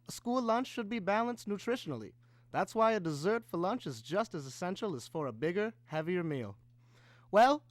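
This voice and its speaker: A man's voice: sits high, dry recording. A man as sponsor spokesman reading a 1950s-style radio commercial in an instructive, salesmanlike way. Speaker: sponsor spokesman, home economist.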